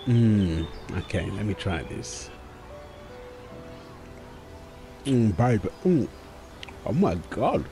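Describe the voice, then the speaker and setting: A man's voice: fairly low pitch, sing-song, takes it slowly, dark tone, soft background music. A man playing a doting young husband and new father, tender and playful, in an ASMR boyfriend roleplay. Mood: appreciative, affectionate.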